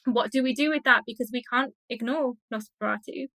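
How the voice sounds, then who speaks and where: A woman with a bright, fairly high voice talks as an expert guest in an interview. She explains her ideas thoughtfully and with enthusiasm, in conversational style. The sound is very dry, with no room on it. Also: brisk, vocal fry, no echo.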